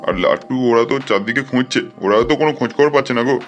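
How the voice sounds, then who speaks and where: A man's voice: mid-range voice, very fast, soft background music, lightly acted. A man voicing a worried older village father in a cartoon, plaintive and fretting. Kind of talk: cartoon dialogue.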